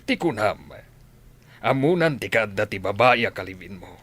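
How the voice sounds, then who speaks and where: Police officer for radio drama: stern, threatening, commanding, low register. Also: male voice, animated, normal speed, fairly bright tone, husky.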